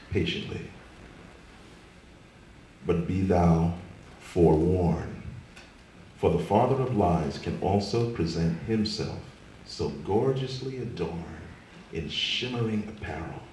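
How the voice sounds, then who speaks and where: A man performing spoken word poetry with a theatrical, emphatic delivery that swells and drops to a hush. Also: deep voice. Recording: echoey room from far off, faint noise.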